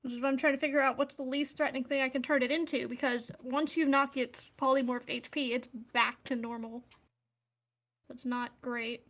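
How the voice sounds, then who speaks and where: A woman's voice: high-pitched, steady tone, normal speed, fairly bright tone. A woman playing a tabletop fantasy game, chatting casually and thinking aloud.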